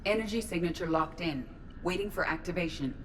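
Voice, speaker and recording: An actress voicing a composed, precise artificial intelligence in a video game, measured, formal and matter-of-fact. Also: female voice, lowish voice, fairly bright tone. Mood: composed, analytical. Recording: noisy ambience behind dialogue.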